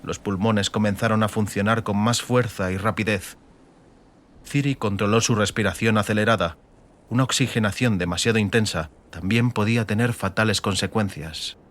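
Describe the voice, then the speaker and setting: A man's voice: lowish voice, steady tone, moderate pace, a bit bright. A man reading scripted, dramatic fantasy narration as an audiobook narrator.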